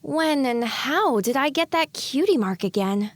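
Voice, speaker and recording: A woman plays a young pony mare musing to herself in an audio drama. The voice is somewhat high and recorded very dry. She sounds introspective, questioning and wry. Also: lively intonation, a little slow, dry recording. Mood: puzzled, wry. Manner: musing, questioning inner monologue.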